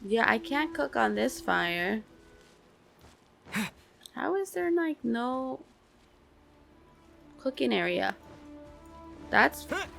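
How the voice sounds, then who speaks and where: Bright, creaky, sweary woman gamer. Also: a little high, sing-song, extremely slow, pauses often, faint music behind. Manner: blunt, sweary, slangy. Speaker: video game streamer. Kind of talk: casual gameplay commentary.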